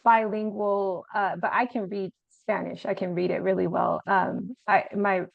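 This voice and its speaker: Woman guest author, gravelly voice, thoughtful and hesitant, dry and dull audio. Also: mid-range voice, level tone, normal speed, dry recording. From Arizona, USA. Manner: thoughtful, hesitant, many fillers. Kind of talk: casual interview chat.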